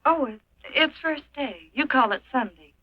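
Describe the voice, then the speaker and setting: An actress playing a gentle, principled young farm woman in a 1940s western film, speaking softly and earnestly. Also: high voice, normal speed. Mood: shy, pleased. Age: young adult. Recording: dull muffled old film soundtrack.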